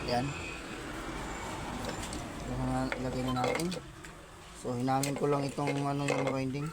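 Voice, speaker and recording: Loud traffic-like ambient noise surrounds a man narrating a hands-on repair. His delivery is flat and halting, with frequent repetition. He speaks casually to his viewers at a middle pitch. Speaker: electronics repair vlogger.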